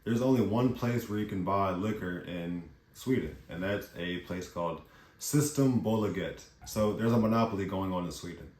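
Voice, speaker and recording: Man from the US, young adult, low voice, casual slangy vlog talk, roomy echo. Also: normal speed. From United States. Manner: relaxed, chatty, slangy. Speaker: vlogger. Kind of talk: casual talking-head vlog. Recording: echoey room.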